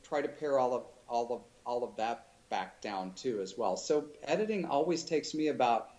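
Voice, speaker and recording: Thoughtful, halting man in an interview. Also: mid-range voice, expressive, darkish tone. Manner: thoughtful, halting with many restarts and fillers. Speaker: author guest. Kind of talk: casual interview chat.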